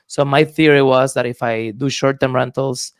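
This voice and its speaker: A man's voice: medium pitch, steady tone, moderate pace, clean tone, no echo, studio quality. A man from Puerto Rico with a Puerto Rican accent, talking as a podcast guest in a thoughtful, hesitant way with many fillers. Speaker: guest, real estate investor. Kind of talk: casual podcast interview.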